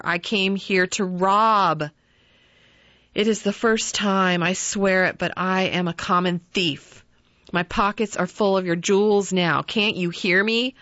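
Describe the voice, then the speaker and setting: Guilt-ridden young man confessing, low and pained as a woman reads him, dull dry sound. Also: slow, bright, forceful tone, no echo. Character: broken, ashamed grown son.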